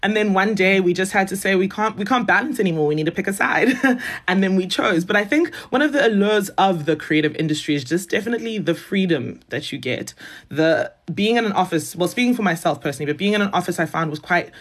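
A woman's voice: mid-range voice, animated, quick pace. A woman in her twenties, chatting casually as an interview guest and laughing often. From South Africa. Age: 20s. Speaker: guest, beauty content creator.

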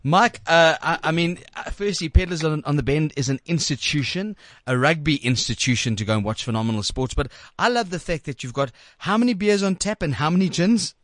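A man's voice: on the high side, fairly quick, hardly any pauses, fairly bright tone, no room sound, clean studio sound. A man with a South African accent hosting a casual radio interview, often repeating words as he goes. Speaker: radio show host. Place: radio studio.

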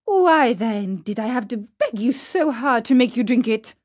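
A woman voicing a patient, motherly fairy in a storybook, who gently admonishes like a mother with a child. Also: on the high side, animated, moderate pace. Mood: gentle scolding. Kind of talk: storybook character reading.

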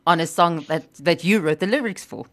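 A woman's voice: fairly low pitch, a little fast. A woman interviewing a guest, asking questions in a chatty, thoughtful way. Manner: chatty, thoughtful, lively intonation. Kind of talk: radio-style interview questions.

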